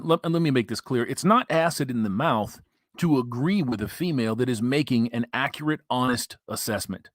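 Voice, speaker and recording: A man's voice: medium pitch, no echo. Man, ranting commentary host, profane, emphatic, dry close sound.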